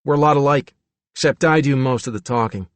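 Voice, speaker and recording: A friendly, talkative young man, as a male audiobook reader puts him on, speaks in an easygoing, casual way. His delivery is relaxed and conversational. The recording is dry and close. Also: medium pitch, brisk, clean tone, no room sound, voice pushed up, natural voice. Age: young adult.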